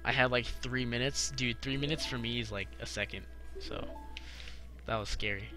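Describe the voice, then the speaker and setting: A young adult man commentating casually on a video game for a live chat, slangy, profane and excitable, often repeating himself. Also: mid-range voice, normal speed, music in the background. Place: live game stream with game music behind the voice.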